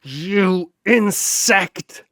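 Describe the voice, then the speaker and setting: A man's voice: sits high, extremely slow, a bit bright, no room sound, clean studio sound, pitched above the performer's own voice, heavily acted. A man voicing a monstrous, arrogant shapeshifting man who growls with contempt. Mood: enraged.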